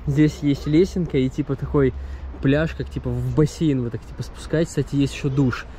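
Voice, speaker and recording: A man's voice: medium pitch, steady tone, fairly quick, warm tone. A man in his thirties chatting casually as he walks, filming himself with a camera at arm's length outdoors by a seaside harbor. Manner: chatty, rambling, talks while walking. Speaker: travel vlogger. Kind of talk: casual walking vlog. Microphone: camera held at arm's length. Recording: outdoor wind and street noise.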